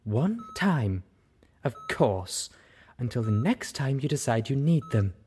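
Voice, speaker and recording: A man's voice: high-pitched, animated, moderate pace, warm tone, a little hiss or hum, pitched above the performer's own voice. A man doing a cross, scolding mare. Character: adult female pony, stern and caring healer.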